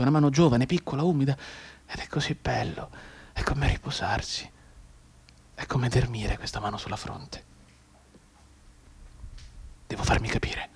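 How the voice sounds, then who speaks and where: An actor playing a desperate bedridden mute soldier's inner thoughts in a theatrical radio monologue, halting and repetitive, with volatile emotion and breathy delivery. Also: male voice, on the high side. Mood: hushed, tender wonder. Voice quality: husky, breathy, often dropping to a whisper.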